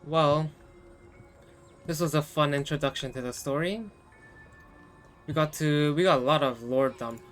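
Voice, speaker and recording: A man's voice: on the high side, unhurried, long pauses, fairly bright tone, faint music behind. A man giving casual, off-the-cuff commentary on a game as he plays. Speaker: game streamer.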